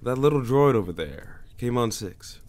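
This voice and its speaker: A man playing a calm, cryptic older monk-like sage in a sci-fi audio drama, measured, knowing and gentle. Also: medium pitch, natural voice. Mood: knowing, genial.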